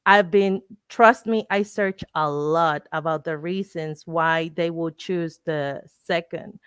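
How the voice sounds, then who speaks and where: A woman from Mexico with a Mexican accent, a native Spanish speaker, giving an informal educational presentation in a halting, explanatory way, searching for words. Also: deep voice, clear, resonant tone, no room sound, clean studio sound. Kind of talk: informal educational talk with slides.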